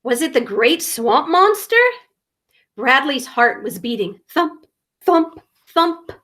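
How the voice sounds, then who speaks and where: A woman reads a picture book to children in a high, lively voice. She takes her time and enunciates with dramatic emphasis. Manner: dramatic, deliberate enunciation with emphasized sound words. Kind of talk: expressive children's story reading.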